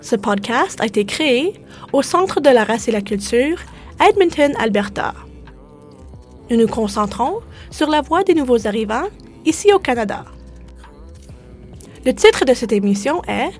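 A woman hosting a podcast, reading a scripted introduction in a clear, measured way. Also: fairly high pitch. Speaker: podcast host. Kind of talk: scripted bilingual narration.

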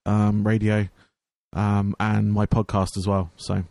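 A hoarse young man hosting his radio show and podcast, chatting casually with lots of ums and restarts. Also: on the low side, monotone, slow pace, a little mellow, dry recording, clean studio sound. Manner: rambling, many ums and restarts. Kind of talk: casual chat.

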